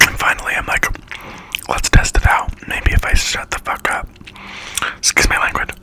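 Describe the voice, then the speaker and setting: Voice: moderate pace, sharp tone. A speaker whispering a slangy, chatty storytime in ASMR style while eating, acting out quoted lines along the way.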